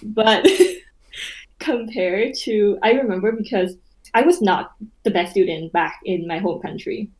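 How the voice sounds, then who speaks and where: A woman's voice: medium pitch, unhurried, a little mellow, low-quality recording. Young Vietnamese woman, hesitant chatty guest. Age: young adult. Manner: hesitant, restarts, light laughs. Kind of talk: casual podcast chat.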